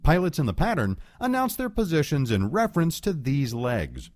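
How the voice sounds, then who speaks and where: Guy doing training video narration, super lively pitch swings, dry close sound, explains stuff step by step. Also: dry recording. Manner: clear, measured explaining. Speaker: instructional narrator. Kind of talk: scripted educational narration.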